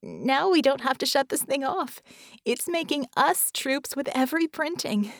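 A breathless, excited young woman engineer, voiced by a woman narrator. She talks high and rushing, with animated swings of pitch, in a close, dry recording. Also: dry recording. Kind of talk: audiobook narration.

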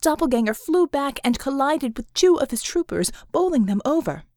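A woman's voice: sits high, very animated, normal speed, darkish tone, no echo, clean studio sound. A woman narrating action fiction as an audiobook, reading scripted prose dramatically.

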